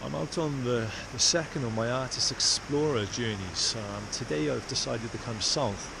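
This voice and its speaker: A man from South Wales, chatting casually and haltingly to camera as he explores outdoors. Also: mid-range voice, gravelly. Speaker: vlogger, artist explorer. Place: outdoors in rainy, windy hills. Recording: loud wind and outdoor noise.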